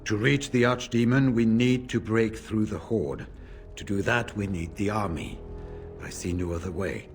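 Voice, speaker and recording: A man playing a grave, seasoned warrior of a fantasy order, speaking in a measured, formal way. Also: fairly low pitch, faint music behind, lightly acted. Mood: resolute.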